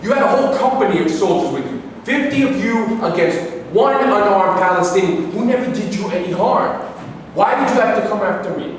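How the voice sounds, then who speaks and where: A man's voice: high-pitched, lively intonation, a little fast. A man playing an indignant, accusing dispossessed Palestinian in a declamatory staged reading of a play. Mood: angry, accusing. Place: reverberant indoor hall, staged reading. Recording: echoey hall from far off, hissy.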